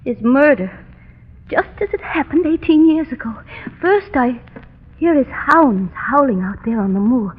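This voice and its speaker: High-voiced haunted young heiress. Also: female voice, expressive, a little slow, a little hiss or hum. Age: young adult. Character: haunted, fragile young heiress, earnest and fearful. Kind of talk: 1930s radio melodrama acting. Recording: muffled, echoing 1930s radio recording.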